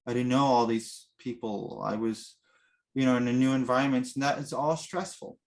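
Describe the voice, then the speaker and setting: A man's voice: medium pitch, steady tone, moderate pace. Earnest man, native Russian speaker. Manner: earnest, reflective storytelling. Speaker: interviewee, adoptee. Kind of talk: personal interview.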